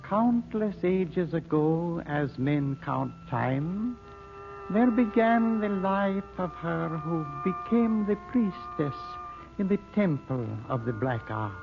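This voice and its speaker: Wise ancient guru in old radio drama, super slow n grand, archaic talk, tinny sound w music. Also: male voice, high voice, expressive, creaky, full character voice. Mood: mystical, grave. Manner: archaic, ceremonious, measured speech. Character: ancient wise mystic teacher, solemn and commanding. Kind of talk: 1930s-40s radio drama acting. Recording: band-limited old radio recording with music.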